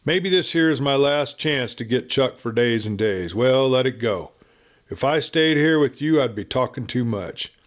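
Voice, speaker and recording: A man's voice: mid-range voice, lively intonation, normal speed, natural voice. Guy doing a sweet-talking western drifter, folksy cowboy talk, sly and hungry, storybook style. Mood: brooding, weary. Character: smooth-talking hungry cowboy drifter, man, slyly flattering.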